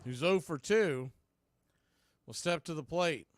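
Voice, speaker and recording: A man's voice: fairly high pitch, slow pace, no echo. A man giving live play-by-play commentary on a baseball game as the radio announcer.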